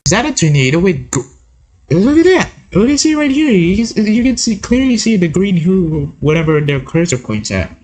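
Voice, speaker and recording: A man giving casual, excitable reaction commentary, repeating words and breaking into his own read-aloud with asides. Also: sits high, normal speed. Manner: excitable, repeats words, interjects while reading. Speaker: host of a reaction video.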